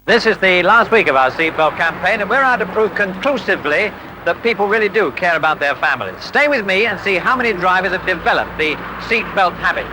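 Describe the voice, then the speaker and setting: A man's voice: high-pitched, lively intonation, brisk, noisy background. A man presenting a scripted safety campaign to viewers on 1970s television.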